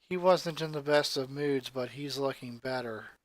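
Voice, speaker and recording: A reader voicing a stoic, gloomy eight-year-old goth girl in a flat, hushed deadpan for a story. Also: mid-range voice, moderate pace, no room sound, pitched below the performer's own voice, lightly acted, rough recording. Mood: somber.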